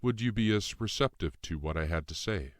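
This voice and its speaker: A man performs a cryptic male spirit from an audiobook tale. The voice is deep and composed, with formal, measured explanations. It sits very dry, with no room around it. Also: animated, no echo, close to an everyday voice. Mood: composed, explaining. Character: calm, cryptic shape-shifting guide spirit in male form. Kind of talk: audiobook character dialogue.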